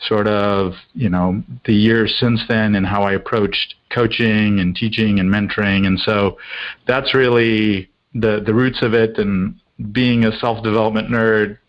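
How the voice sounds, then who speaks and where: A man's voice: on the low side, lo-fi sound. A middle-aged man who grew up in Australia, England and the US, answering conversationally as an interview guest. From India-born, grew up in Australia, England and the US. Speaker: guest, coach.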